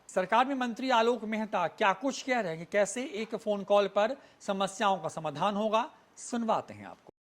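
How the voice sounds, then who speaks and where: News presenter reading out a scripted report, medium pitch voice.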